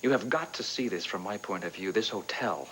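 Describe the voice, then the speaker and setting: A cool, formal hotel owner with a very low voice. He speaks in measured, unhurried sentences laced with dry sarcasm. The tone is daytime soap drama. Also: animated, a bit bright, a little hiss or hum, low-quality recording. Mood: firm.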